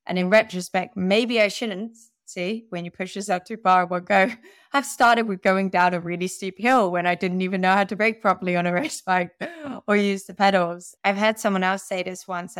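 A young woman from the Netherlands, a podcast guest speaking candidly and laughing at herself in a relaxed interview. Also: mid-range voice, a little fast, clear, resonant tone, no room sound, clean studio sound. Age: young adult. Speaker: podcast guest, growth advisor. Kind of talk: conversational interview.

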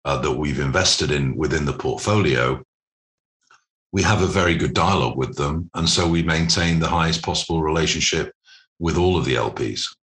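A man speaking as an executive guest in a business interview, explaining things in measured, articulate corporate phrasing. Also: a bass voice, a bit bright.

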